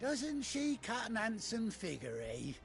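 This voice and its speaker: A jovial country trader from a fantasy video game, British and colloquial, with a high, lively voice. He talks in a folksy, unhurried, hearty way, over soft game music. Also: vocal fry, exaggerated voice. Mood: pleased. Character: chatty, kind-hearted male cart trader, rustic and jovial. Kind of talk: video game cutscene acting.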